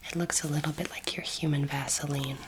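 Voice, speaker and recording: A woman whispering gently and reassuringly in an ASMR roleplay, acting as a calm, kindly alien doctor, close to the microphone. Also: low-pitched, very noisy. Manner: soothing, gentle, reassuring, unhurried. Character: kindly, calm female alien space doctor examining a human. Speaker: ASMR performer playing an alien space doctor.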